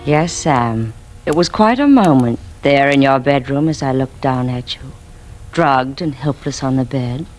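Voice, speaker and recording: Scheming, bitter radio-drama wife, music underneath. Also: female voice, a bass voice, animated, unhurried, a little mellow. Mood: cold, gloating. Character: scheming bitter wife narrating her poisoning of her husband. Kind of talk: 1950s radio drama acting, intimate confessional narration.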